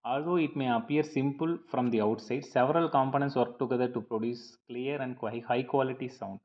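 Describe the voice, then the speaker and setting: A man reading a scripted lecture over slides in a measured, slightly halting, explanatory way. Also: medium pitch, lo-fi sound.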